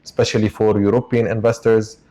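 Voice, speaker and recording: A man's voice: lowish voice, flat delivery, brisk, dark tone. A man hosting a podcast from an office, asking questions in an upbeat, eager way. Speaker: podcast host. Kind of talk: podcast interview.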